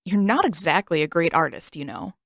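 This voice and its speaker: A woman's voice: fairly low pitch, sing-song, brisk, gravelly, no room sound, studio quality. A woman voicing a sarcastic, bossy teenage boy in a read-aloud fan story, blunt and teasing. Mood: mocking.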